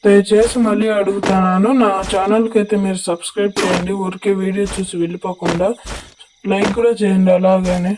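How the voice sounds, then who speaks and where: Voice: medium pitch, background noise. A gaming creator with a Telugu accent and a voice changer effect, giving instructional, repetitive gameplay tutorial commentary. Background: music.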